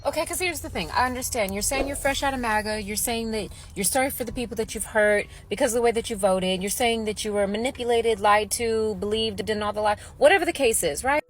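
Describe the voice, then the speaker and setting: A woman's voice: on the high side, slight background noise. Lecturing woman in a video monologue. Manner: lecturing, deliberate, emphatic. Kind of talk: social media monologue.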